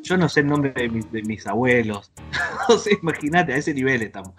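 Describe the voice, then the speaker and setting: A Rioplatense-accented man hosts a casual podcast, rambling and joking in a mid-pitched voice. Faint music sits in the background. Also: a little fast. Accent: Rioplatense Spanish. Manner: rambling, joking, lively with frequent laughs. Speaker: podcast host. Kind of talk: casual comedic podcast chat.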